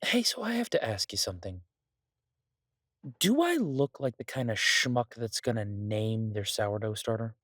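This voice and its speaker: A man playing a charming, restless mafia boss in an audio roleplay, rambling casually and intimately with self-mocking humor, mock boasts and hesitant pauses. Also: on the low side, sing-song, fairly bright tone, no echo. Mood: sheepish, mock-indignant.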